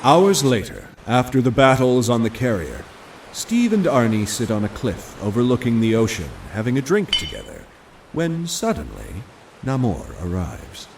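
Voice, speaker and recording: A man's voice: medium pitch, huge pitch swings, normal speed, creaky, a little hiss or hum, rough recording. A man narrating an adventure drama from a script, telling it in a dramatic storytelling style.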